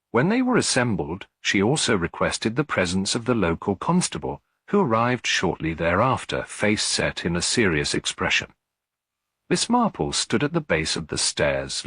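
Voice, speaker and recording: A man narrating a classic detective story in a measured, dramatic manner. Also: deep voice, fairly bright tone, husky, dry recording. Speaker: audiobook narrator.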